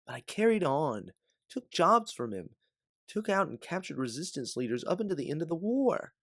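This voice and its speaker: A man's voice: on the high side, very animated, normal speed, a bit bright, no room sound, clean studio sound, pitched above the performer's own voice, heavily acted. A man voicing a guilt-ridden, hardened former bounty hunter in a sci-fi story, blunt and emphatic. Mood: remorseful.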